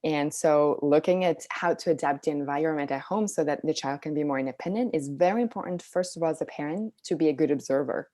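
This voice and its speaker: A woman speaks with a very low, dark and relaxed voice. She explains things as an interview guest in a casual, informal way, with plenty of conversational filler phrases. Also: fast talker, few pauses. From Switzerland. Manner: informal, hedging with "like" and "you know". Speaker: interview guest, Montessori parenting coach.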